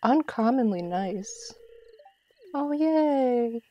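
A woman's voice: fairly high pitch, lively intonation, extremely slow, warm tone. A woman giving casual live commentary as she streams a game, playfully talking to herself and her viewers.

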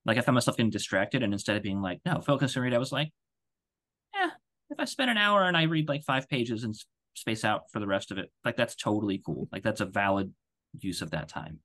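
A man's voice: fairly low pitch, lively intonation, fairly quick, a bit bright. A man co-hosting a podcast, talking in a casual, rambling way. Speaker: podcast co-host. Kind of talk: casual chat. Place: room at home on a video call.

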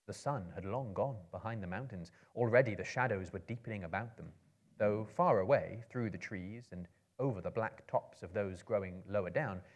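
A man in his thirties giving a theatrical, measured dramatic reading of a fantasy story. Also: on the low side. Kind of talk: dramatic reading of a fantasy novel to a live audience. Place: book-lined room with a live audience.

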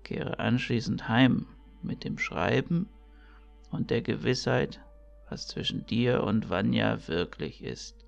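A man playing a kindly old priest and mentor in an audio drama, speaking in a measured, ceremonial, gravelly way. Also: mid-range voice, animated, dull audio, exaggerated voice. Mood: solemn, kind. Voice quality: creaky, gravelly. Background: music.

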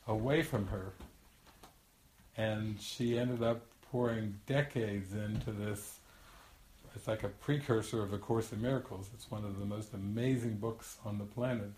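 A man's voice: fairly low pitch, darkish tone, a little hiss or hum. An older man, a spiritual teacher, telling a long anecdote in a rambling, chatty way with frequent restarts and laughter. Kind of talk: storytelling teaching talk. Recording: echoey room.

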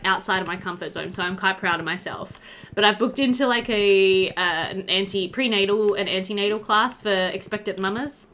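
Young woman doing a chatty game commentary, giggly, normal pitch, noisy background w clicks. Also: sharp tone. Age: young adult. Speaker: video game streamer. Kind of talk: casual let's play commentary.

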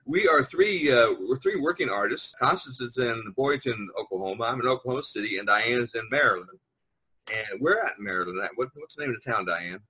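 A man's voice: mid-range voice, lively intonation, fairly quick, bright, forceful tone, slightly rough, low-quality recording. An American man hosting a casual podcast chat on a video call, talkative and jokey, prodding the others along. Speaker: podcast host, visual artist. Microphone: online video conference call.

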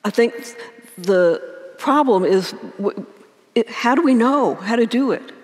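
A woman in her sixties, a priest giving a calm, measured sermon at a church pulpit microphone, in a lightly voice. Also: on the high side. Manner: calm, measured, gentle repetition. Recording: long church reverb.

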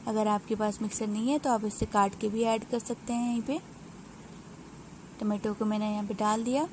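A woman narrating a home cooking recipe step by step in an unhurried, explanatory way, pausing with small hesitations. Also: mid-range voice, fairly even intonation, a little mellow, slight background noise. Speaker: home cook.

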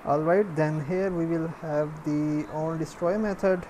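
A woman giving a step-by-step programming tutorial, dictating in a halting, piecemeal way as she works. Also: low voice, steady tone, dark tone, a little hiss or hum. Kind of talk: step-by-step screencast tutorial, spoken while typing.

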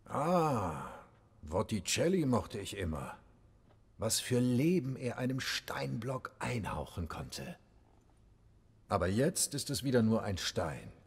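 A man's voice: on the low side, sing-song, slow, vocal fry, breathy edge, exaggerated voice. An actor playing a jaded, sardonic bandit leader and nobleman in a video game, with a languid, capricious manner. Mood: languid, bored. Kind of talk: dramatic video game acting.

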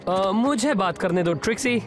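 An earnest teenage boy hero with a high voice. He speaks as an eager young cartoon leader, over loud background music. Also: expressive, moderate pace. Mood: calm, reasoning. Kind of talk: Hindi cartoon dubbing.

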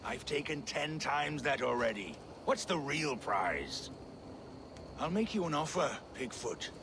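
A man's voice: fairly high pitch, big pitch swings, a little slow, vocal fry, heavily acted. A male actor playing a boastful, mocking, menacing Viking raider king in a video game, with theatrical delivery. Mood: challenging. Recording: noisy game scene ambience.

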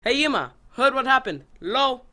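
Bright, swooping, scheming little schoolboy villain, acted out. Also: sits high, lively intonation, moderate pace. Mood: fake friendly. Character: scheming, gloating small bespectacled schoolboy villain. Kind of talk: anime parody dub.

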